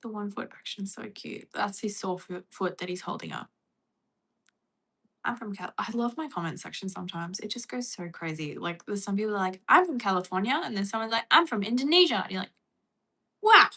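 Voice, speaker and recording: Chatty bright Australian woman streamer. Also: mid-range voice, fairly quick, breathy edge. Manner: chatty, reads and answers viewer comments. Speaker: livestream host. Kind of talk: casual livestream chat.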